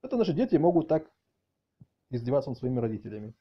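A middle-aged man with a husky voice doing a mock-outraged comic rant, halting and repeating words as he exclaims. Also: medium pitch, very animated, slight background noise. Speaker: video channel host. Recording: dull lo-fi audio, faint music behind.

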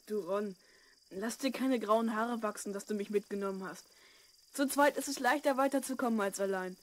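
A woman's voice: mid-range voice, normal speed, close to an everyday voice. A female performer playing a friendly, practical twelve-year-old boy in a children's audio drama. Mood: encouraging, thoughtful.

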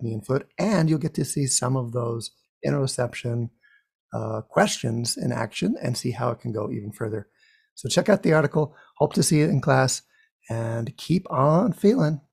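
A man's voice: mid-range voice, creaky, darkish tone, slightly rough. A middle-aged man talking earnestly straight to camera as a bodywork instructor, close to a studio mic in a small home room. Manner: earnest, conversational, with some restarts. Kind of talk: direct-to-camera promo talk. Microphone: large studio condenser mic up close.

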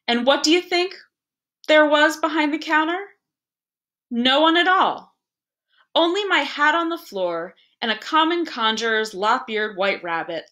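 Bright-voiced woman reading a story aloud. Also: a little high. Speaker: library staff member reading aloud. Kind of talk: scripted story narration.

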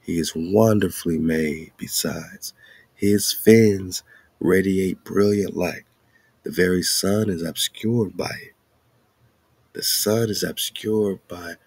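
A man's voice: deep voice, vocal fry, a little mellow, breathy edge, dry recording. A man hosting a show, reading aloud and commenting casually with informal, slangy asides. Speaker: radio show host.

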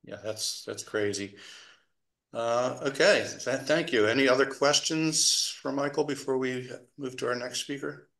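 Gravelly man chairing an online meeting. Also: mid-range voice, unhurried, fairly bright tone, echoey room. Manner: casual, hesitant fillers. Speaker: meeting moderator. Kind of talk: video call meeting chair. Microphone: video call.

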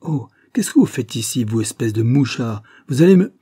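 A man's voice: moderate pace, dark tone. A man voicing an irascible, spluttering older former lawyer with a harsh voice, in an audiobook story. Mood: outraged. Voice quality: harsh, rasping, shrill when angry. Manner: spluttering, outraged.

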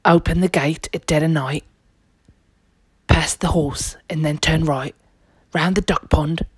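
A sneaky, scheming male thief from a children's storybook, acted out by a woman. He speaks in a breathy stage whisper, slowly and secretively, close and dry. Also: low-pitched, steady tone, dry recording. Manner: conspiratorial. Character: two sneaky adult male cattle thieves, cunning, plotting.

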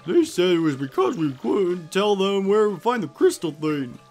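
A man's voice: high voice, very animated, normal speed, a little mellow, pitched above the performer's own voice. A gloomy old creature elder scoffs at every hopeful plan, as a man acts him out. His voice swings up and down with sarcasm. Faint game music plays behind. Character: grumpy old male puni elder, scoffing, doubtful.

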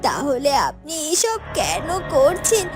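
A woman's voice: sits high, very animated, takes it slowly, full character voice. A woman voicing a brave young palace gardener in a Bengali cartoon, defiant and emotional. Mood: accusing. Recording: music under the voice.

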